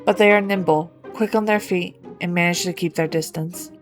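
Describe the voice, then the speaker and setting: A woman's voice: fairly low pitch, fairly even intonation, moderate pace, soft background music. A woman reading scripted narration as the storyteller of a fan-written game quest.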